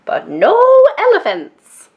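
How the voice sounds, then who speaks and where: A woman voicing a firm, exasperated old grandmother from a children's storybook, with slow, emphatic, drawn-out words. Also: medium pitch, huge pitch swings, dull audio. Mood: polite but firm.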